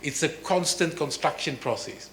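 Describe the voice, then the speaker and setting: Measured, analytical man on a panel. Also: fairly high pitch, fairly bright tone, a little hiss or hum. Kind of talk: panel discussion. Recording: echoey room.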